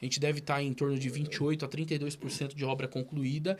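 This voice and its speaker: Earnest Brazilian official, slightly high voice. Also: male voice, little pitch movement, fast. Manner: explanatory, hesitant restarts. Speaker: guest, city health secretary. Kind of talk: interview answers.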